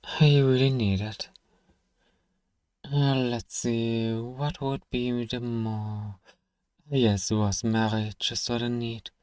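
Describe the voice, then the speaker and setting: A man's voice: mid-range voice, flat delivery, takes it slowly, long pauses, a little mellow, smooth, dry recording, clean studio sound, natural voice. A man playing an irritable, sarcastic fantasy apothecary in an ASMR roleplay, stern and given to exasperated sighs. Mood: impatient, scornful. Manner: stern, sardonic, exasperated sighing.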